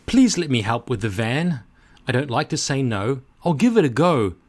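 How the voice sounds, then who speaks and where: A man's voice: mid-range voice, sing-song, normal speed. A man voicing a modest, kindly male van driver in a children's picture book, earnest and obliging. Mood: eager, obliging.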